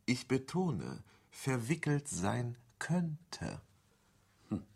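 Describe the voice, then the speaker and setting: An actor in a radio drama playing a wary, wry insurance legal adviser with a dry, formal manner. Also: male voice, medium pitch, huge pitch swings, creaky, a little mellow, slightly rough, heavily acted. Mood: dry, pedantic. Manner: dry, formal, measured emphasis. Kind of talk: German radio drama acting.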